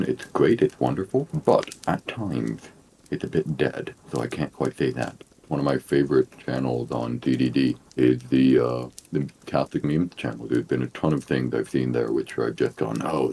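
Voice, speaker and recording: A man from the United States co-hosting a casual chat, speaking in a measured, slightly formal way. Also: rumbling low, creaky, mellow, husky. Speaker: podcast co-host.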